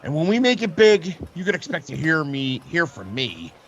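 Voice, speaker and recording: Casting: ambitious promoter played by a man, sharp forceful tone, hugely varied pitch, emphatic, faint noise behind. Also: wildly swooping pitch, normal speed, muffled recording, full character voice. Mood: earnest, resolved. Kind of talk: comedic audio play acting.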